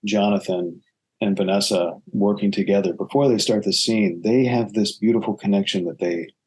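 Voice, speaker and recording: A man speaking as an actor being interviewed, earnest and reflective, often halting and restarting his phrases. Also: lowish voice, steady tone, a little mellow, breathy edge, rough recording.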